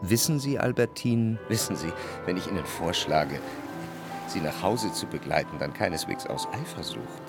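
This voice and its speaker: An actor playing an introspective, jealous lover who narrates his memories in a measured, literary radio drama style. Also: sits low, expressive, creaky, over loud music. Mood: reflective, brooding. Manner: reflective, measured literary delivery in long sentences.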